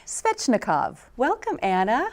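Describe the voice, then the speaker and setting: A woman's voice: fairly high pitch, wildly swooping pitch, a little slow. Female talk show host, encouraging tone. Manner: encouraging, frequent mm-hmms and laughs. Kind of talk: casual interview chat.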